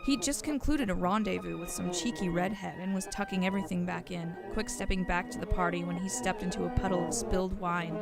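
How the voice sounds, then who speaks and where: A woman's voice: mid-range voice, gravelly. A woman reading scripted storybook narration for an audio drama, on a noisy recording.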